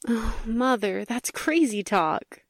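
A woman's voice: fairly high pitch, expressive, slow, no echo, studio quality. A woman playing an anxious young society daughter in 1940s radio style, earnest and questioning. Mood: dismissive. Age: young adult. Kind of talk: 1940s radio drama acting.

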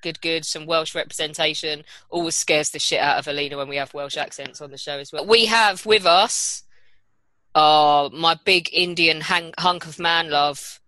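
A woman's voice: low-pitched, steady tone, normal speed, bright, forceful tone, no room sound, poor audio. A woman with a British accent hosting a casual panel chat in an irreverent, sweary, teasing manner. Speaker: podcast host.

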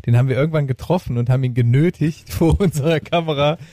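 Guy with a fairly high voice, chatting casually as podcast cohost. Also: quick pace, mellow, no room sound. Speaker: podcast host. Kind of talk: casual chat.

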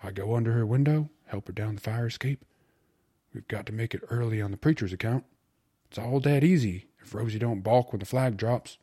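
A man's voice: medium pitch, brisk, warm tone, slightly rough, voice pushed up, natural voice. A man voicing a cheerful, cocky young suitor who talks in eager, colloquial slang for an audiobook. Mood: eager, urgent. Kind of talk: audiobook character voice.

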